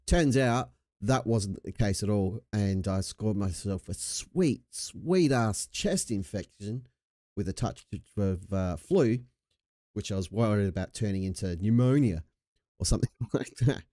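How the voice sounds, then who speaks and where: Australian male streamer, low relaxed voice, loose slangy banter, slightly under the weather from a chesty cold, very dry close sound. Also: dry recording. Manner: laid-back, jokey, slangy and sweary. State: recovering from a chest infection with a lingering cough. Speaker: livestream host. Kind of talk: casual livestream chat.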